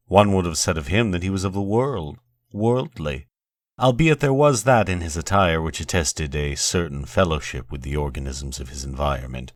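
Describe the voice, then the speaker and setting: A man narrating a horror story in a deliberate, theatrical, literary manner, like the host of a scripted show. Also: extremely low pitch, no echo, clean studio sound.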